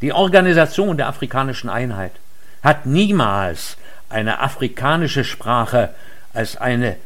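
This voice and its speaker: A man delivering a formal lecture in a measured, earnest way. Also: mid-range voice, expressive, fairly bright tone. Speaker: lecture speaker. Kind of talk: formal read speech.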